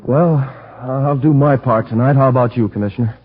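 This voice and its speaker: A man playing a nervous, excitable warehouse owner with an agitated manner in 1930s radio drama style. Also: mid-range voice, brisk, husky, close to an everyday voice. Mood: excited, determined. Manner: stammering, agitated. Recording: muffled old radio recording.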